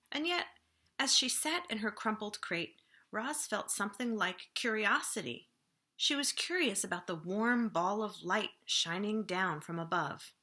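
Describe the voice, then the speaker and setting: A woman reading a children's storybook aloud expressively, with deliberate dramatic emphasis. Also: mid-range voice, pushed and bright.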